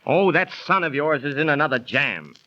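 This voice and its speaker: An actor playing a skeptical, exasperated middle-aged husband in a 1930s radio drama, on an old recording. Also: male voice, a little high, big pitch swings, moderate pace, pushed and bright, dull audio.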